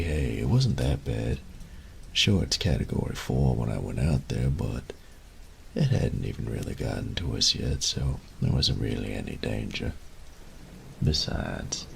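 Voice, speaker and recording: A man's voice: a bass voice, vocal fry, slight background noise, heavily acted. A man playing a carefree, thrill-seeking college roommate in an audio roleplay, talking in an easygoing, nonchalant way.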